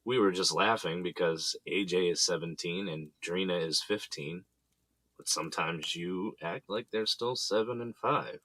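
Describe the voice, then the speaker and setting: A man's voice: low voice, unhurried, fairly bright tone, dry recording, exaggerated voice. A man playing an easygoing, affectionate husband and father, playful and coaxing, in a naturalistic audio drama. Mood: teasing.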